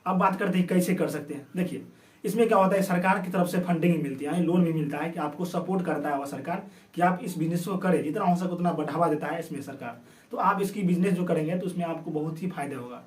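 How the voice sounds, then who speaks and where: Echoey, high-pitched man presenting with sore throat. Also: fast, darkish tone. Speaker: video host. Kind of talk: informal explainer narration. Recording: roomy echo, distant from microphone.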